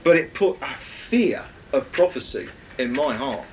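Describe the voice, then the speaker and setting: A man with a loud, projecting voice, preaching a rambling, anecdotal sermon with many fillers. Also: mid-range voice, expressive, unhurried, slight background noise, low-quality recording.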